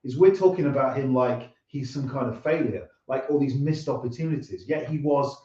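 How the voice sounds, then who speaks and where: Man in his fifties, mellow, roomy recording. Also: mid-range voice, brisk. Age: 50s. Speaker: singer-songwriter and interview guest. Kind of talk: casual chat. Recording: roomy, distant, dull lo-fi sound.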